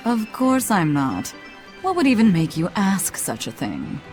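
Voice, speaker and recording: A woman's voice: lowish voice, huge pitch swings, a little slow, a little mellow, soft background music. An actress playing a sly, wry, mysterious woman in a video game, with a haughty, teasing drawl. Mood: dry, offended. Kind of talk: English game voice acting.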